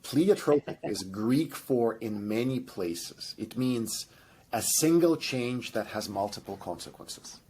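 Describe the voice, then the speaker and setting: A man's voice: medium pitch, animated, slow, darkish tone, a little hiss or hum. A man with a Russian accent, a genetics professor giving long, enthusiastic explanations with many restarts and fillers. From Russian by ancestry. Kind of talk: explanatory interview answers.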